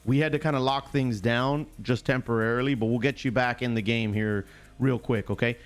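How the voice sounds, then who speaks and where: A man's voice: medium pitch, steady tone, brisk. A man hosting a live sports stream, chatting casually in chummy slang, greeting viewers by name and repeating himself.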